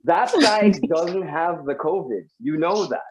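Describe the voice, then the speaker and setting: A man's voice: on the high side, animated, measured pace, lo-fi sound, a loud crowd. A man from Guyana doing a stand-up comedy set over a video call, chatty and full of asides to the audience.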